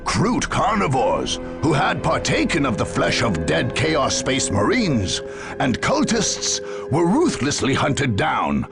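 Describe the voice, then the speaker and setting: A man narrating a science-fiction war game as a grave, solemn chronicler in measured, scripted dramatic storytelling. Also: mid-range voice, huge pitch swings, gravelly, exaggerated voice. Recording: music behind the voice.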